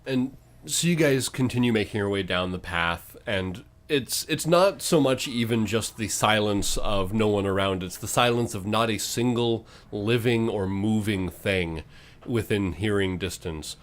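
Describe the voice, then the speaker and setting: A man's voice: lowish voice, a little slow. A man working as game master, improvising dramatic, descriptive narration for a fantasy tabletop game.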